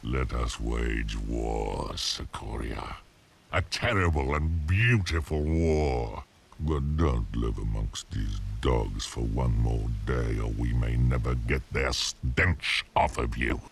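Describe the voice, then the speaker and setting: Abyssal, gravelly demon emperor. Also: male voice, fairly even intonation, slight background noise, full character voice. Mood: cold menace. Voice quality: gravelly, very deep. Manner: slow, grandiose, theatrical pronouncements. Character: towering demon emperor, cruel, menacing, coldly contemptuous. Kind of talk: video game villain acting.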